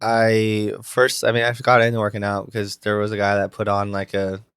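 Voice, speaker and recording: A man's voice: lowish voice, monotone, moderate pace, a bit bright, clear, resonant tone, no echo, clean studio sound. A man co-hosting a podcast in casual chat, blunt and informal, often trailing off mid-thought. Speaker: podcast co-host.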